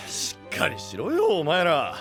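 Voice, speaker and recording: A man playing a rough, big-brotherly young knight who speaks in blunt slang in an anime-style audio drama. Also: high voice, full character voice. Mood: brisk, commanding.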